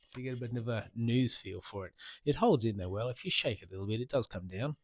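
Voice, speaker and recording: A man's voice: medium pitch, quick pace, very noisy. A man talking casually to camera as a product reviewer, informal and repeating himself, speaking into a handheld wireless microphone. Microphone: handheld wireless interview microphone.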